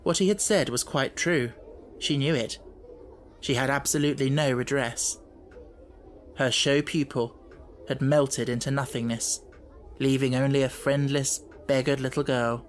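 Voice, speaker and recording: A man's voice: mid-range voice, a little hiss or hum. A man reading scripted literary narration as an audiobook narrator.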